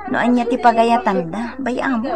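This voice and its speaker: A woman's voice: on the high side, normal speed, crowd noise, lightly acted. A woman with a Filipino accent playing a young, gossipy but practical sweetheart in a radio drama. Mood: soothing, practical.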